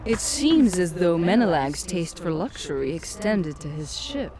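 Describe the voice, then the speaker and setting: An actress playing a seductive dark companion in a fantasy game, sultry, sly and teasingly sardonic. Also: female voice, on the low side, sing-song, measured pace, music in the background. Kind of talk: dramatic fantasy game acting.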